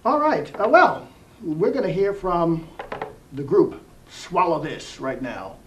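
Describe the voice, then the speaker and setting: A man's voice: sits high, expressive, slow, fairly bright tone. A man hosting an interview program in a casual, rambling, conversational way. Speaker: TV program host. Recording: distant mic with faint room hiss.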